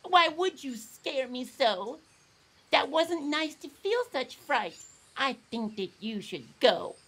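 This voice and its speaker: Man doing a high, plaintive little lemur. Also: lively intonation, a bit bright, heavily acted. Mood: frightened, indignant. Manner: plaintive, moaning and exclaiming, drawn-out. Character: naughty, misunderstood male lemur, mopey and indignant.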